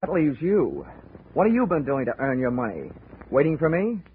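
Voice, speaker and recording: Wry investigator narrating, dry wisecracks, fairly high voice, tinny old radio sound. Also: male voice, huge pitch swings, moderate pace, slight background noise. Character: wisecracking male insurance investigator. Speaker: lead and narrator. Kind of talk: 1940s radio acting.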